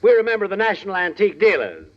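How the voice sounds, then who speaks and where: A man's voice: high voice, very animated, racing. An actor playing a fussy, chatty male antique dealer in a 1940s radio comedy, with a sing-song delivery. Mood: jovial. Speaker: comic supporting actor. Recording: muffled noisy old radio broadcast, studio audience.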